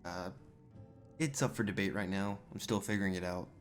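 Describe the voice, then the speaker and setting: A man's voice: deep voice, brisk. A man role-playing his character in a video game, improvising casual, polite commentary as he plays. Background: game music.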